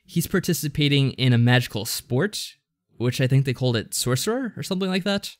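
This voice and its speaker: A man speaks in a casual, conversational way at a middle pitch. He feels his way through what he is saying, hesitant and loosely rambling, as a podcast co-host does in an informal discussion. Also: lively intonation, a little fast. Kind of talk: casual discussion recapping a visual novel.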